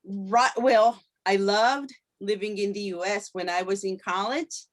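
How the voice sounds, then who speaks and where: A woman's voice: mid-range voice, fairly even intonation, unhurried. A middle-aged woman from Japan with a Japanese accent, a native Japanese speaker, chatting enthusiastically as an interview guest with hesitant phrasing. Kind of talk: casual conversational interview.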